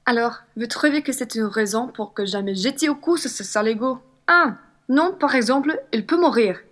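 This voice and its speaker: High-voiced, defiant young woman played by an actress. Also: animated, normal speed, a bit bright. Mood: angry, offended. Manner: defiant, blunt, emphatic. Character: proud, indignant young woman scorning a Prussian officer. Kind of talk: radio play acting.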